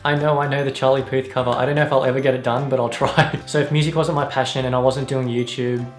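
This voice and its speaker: A man's voice: mid-range voice, flat delivery, fast talker, roomy sound, soft background music. A young Australian man chatting upbeat and answering fan questions, who laughs often. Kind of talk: casual vlog Q&A.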